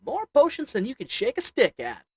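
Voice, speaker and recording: Voice actor as a cunning goblin shopkeeper, haggling patter, lively up-and-down delivery. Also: mid-range voice, moderate pace, husky, no echo, studio quality, full character voice. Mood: sly. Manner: wheedling, sarcastic salesman patter; chuckles between lines. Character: sly haggling goblin potion merchant. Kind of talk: audio roleplay acting to the listener.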